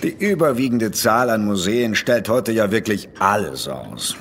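An actor playing a courteous, hesitant gentleman collector in an audio drama, wry and given to hemming. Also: lowish voice, animated, slight background noise. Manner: deliberate, hemming, polite and wry. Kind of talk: German horror audio drama acting.

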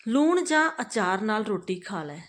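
A harsh, short-tempered mother-in-law in a spoken storybook, clipped and scolding. A woman reads her lines in her own middle-pitched voice. Her orders come out flat and final. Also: measured pace. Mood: stern. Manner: scolding, curt. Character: stern angry old mother-in-law.

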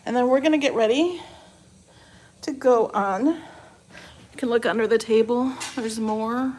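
A woman with a fairly high voice gives a casual, chatty walkthrough of a house. She is recorded on a handheld phone, with some light noise around her. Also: measured pace, a little mellow. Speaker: host giving a house tour. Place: rooms of a small house, then porch and driveway. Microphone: handheld phone, operator behind camera. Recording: noisy handheld recording on the move.

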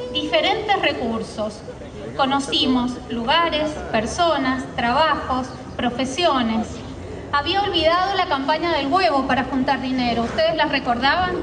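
A woman in her early forties with an Argentine accent delivers a measured, reflective speech from notes at an outdoor podium. Her high voice comes through a public address system, caught from far back in the audience with loud reverberation. A large crowd murmurs close around the camera. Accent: Argentine Spanish. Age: early 40s. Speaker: alumni speaker. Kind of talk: formal commemorative speech read aloud. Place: outdoor school courtyard ceremony. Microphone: podium microphone filmed from a distant camera in the crowd.

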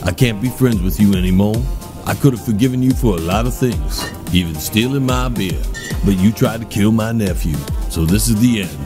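A man in a comedy audio drama playing a gruffly caring adventurer, earnest and curt. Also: fairly low pitch, normal speed, close to an everyday voice. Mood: resolved. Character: Uncle Shady, gruffly caring adult male adventurer. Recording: music behind the voice.

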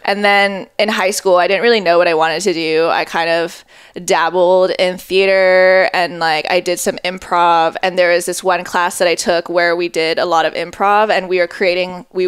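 A woman's voice: on the low side, steady tone, normal speed, smooth, dry recording. A young woman in her twenties, a film editor, talking casually in a podcast interview on a headset mic, with plenty of "like" and "um" and a dry sense of humor. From born in Japan, raised in Connecticut, China, Hawaii. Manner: laid-back, many "like" and "um" fillers, dry jokes. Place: small dining room, indoors.

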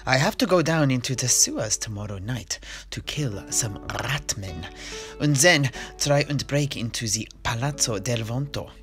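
A man playing a seasoned adventurer who recounts fantasy tales in deliberate, dramatic phrasing. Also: medium pitch, animated, a bit bright, lightly acted. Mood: jovial, grateful. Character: weary male adventurer from a fantasy Italian city, recounting tales. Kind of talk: in-character fantasy storytelling. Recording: music behind the voice.

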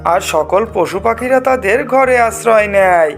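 A woman's voice: mid-range voice, animated, a little slow. A woman reading scripted narration for a children's story. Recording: music behind the narration. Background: clear music.

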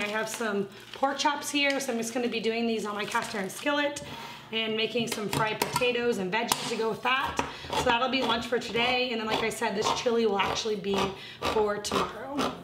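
A woman's voice: on the high side, moderate pace, heavy background noise. Chatty woman in an echoey kitchen. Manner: chatty, explains her plans step by step. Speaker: home cooking vlogger. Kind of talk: casual talk to camera while cooking. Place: home kitchen, indoors. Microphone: camera on a tripod across the kitchen. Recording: echoey kitchen with loud cooking clatter. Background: pots and pans clattering.

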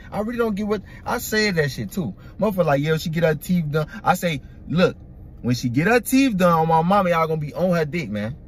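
A young man hosting a music reaction video from a parked car, talking excitedly in profane slang into a phone held at arm's length. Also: high voice, a little hiss or hum. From Iraq. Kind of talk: casual reaction commentary. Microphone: phone camera at arm's length.

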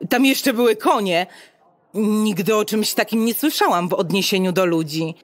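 Actress as a haughty, officious futuristic clinic receptionist. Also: female voice, medium pitch, normal speed, no room sound, natural voice. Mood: puzzled, amused. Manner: formal, bureaucratic, haughty, sales-like patter. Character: brisk, officious female receptionist at a futuristic state pleasure clinic. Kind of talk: Polish audio drama acting.

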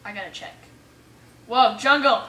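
A bright, sharp-voiced woman narrates her own gameplay. She talks casually and excitably, exclaiming and muttering to herself. The sound is roomy, picked up some way from the mic. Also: a little high, measured pace. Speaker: gamer playing an adventure map for viewers. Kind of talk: casual let's play commentary. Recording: roomy echo, distant mic, faint noise.

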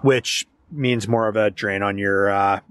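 A man's voice: on the low side, fairly even intonation, unhurried, a bit bright, clean tone, no room sound, studio quality. A man in his thirties chatting casually and ramblingly to a handheld camera, as an outdoor vlogger. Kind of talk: casual outdoor vlog chat. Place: outdoors beside and inside a parked truck. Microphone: handheld camera at arm's length.

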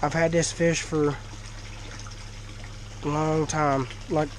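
A man's voice: fairly high pitch, flat delivery, slow pace, a little hiss or hum. A congested man, chatting casually while filming his fish tank in a dim cinder-block shed. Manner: folksy, chatty. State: congested, talking through a blocked nose. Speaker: fish-keeping vlogger. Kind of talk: casual vlog to camera. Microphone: action camera worn by the speaker.

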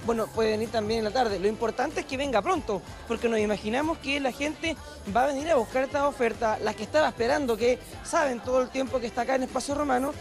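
A young man with a Chilean accent, a TV reporter walking a craft fair and pitching it to viewers with a handheld mic. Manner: upbeat, persuasive, addresses viewers directly. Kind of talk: promotional TV fair walkthrough. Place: indoor craft fair hall. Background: background music.

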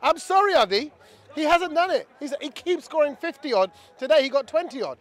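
A middle-aged man working as a fan-channel reporter, chatting in an animated way as he interviews sports fans on a handheld microphone outdoors. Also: very high voice, a little fast. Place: outdoors in a busy street outside a stadium.

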